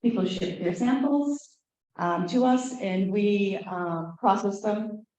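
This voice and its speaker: A woman directing a lab core facility, giving a conference talk in a loud voice with frequent fillers. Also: mid-range voice, expressive, slow pace, poor audio. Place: conference hall.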